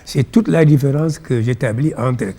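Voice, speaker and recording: A man with a Senegalese accent and Pulaar as his first language, explaining ideas in measured, didactic phrases as an interview guest. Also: medium pitch, mellow. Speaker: interview guest, philosophy author.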